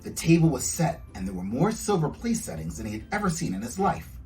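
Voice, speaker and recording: A man's voice: medium pitch, big pitch swings, brisk, darkish tone, far from the mic, poor audio. A man, an author, reading his children's storybook aloud to young listeners.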